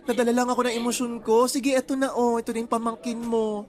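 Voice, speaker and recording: Casting: affectionate, campy young Filipino best friend, gushing radio drama delivery. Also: male voice, very high voice, little pitch movement, fast talker.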